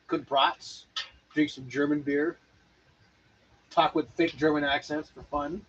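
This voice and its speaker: A man's voice: a little high, steady tone, slow pace, a bit bright, slightly rough. A man co-hosting a homebrewing stream, chatting casually in a laid-back, rambling way. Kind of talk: casual chat. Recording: lo-fi, dull livestream audio.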